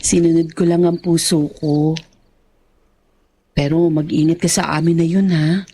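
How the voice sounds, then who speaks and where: An actress playing a devoted, protective young sweetheart in a radio drama, earnest and gently chiding. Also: female voice, low-pitched, level tone, a little slow, husky. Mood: worried.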